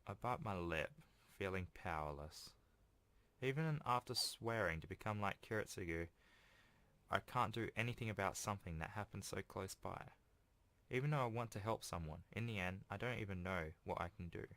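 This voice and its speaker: A man's voice: on the low side, normal speed, a bit bright. A man hosting a video game playthrough, reading a visual novel aloud with casual, self-deprecating asides. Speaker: let's play host.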